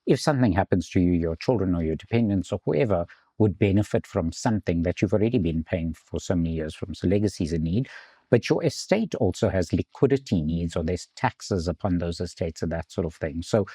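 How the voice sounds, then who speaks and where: A man from South Africa, an insurance executive, explaining finance in a measured way in an interview. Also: low voice, animated, warm tone, slightly rough, dry recording, studio quality. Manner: measured, explanatory, some restarts.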